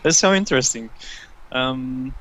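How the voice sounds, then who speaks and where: A man's voice: mid-range voice, expressive, unhurried, a bit bright, rough recording. A man from the Netherlands with a Dutch accent, a native Dutch speaker chatting in English, hesitant with many fillers. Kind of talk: casual chat.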